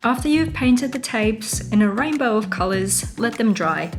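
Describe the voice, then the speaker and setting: A woman's voice: on the high side, animated, unhurried. A woman narrating a scripted craft tutorial as its host, giving step-by-step instructions. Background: music.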